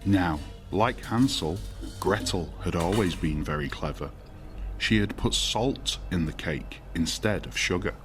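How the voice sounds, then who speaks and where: A man's voice: low voice, a little slow, fairly bright tone, faint music behind. A man narrating a fairy tale as the storyteller in an audio drama. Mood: measured, storytelling.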